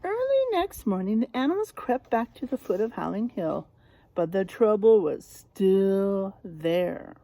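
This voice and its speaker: A woman reading a picture book aloud to children, teacherly and expressive, stopping to prompt them with questions and leaning on story words for drama. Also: mid-range voice, measured pace, dry recording.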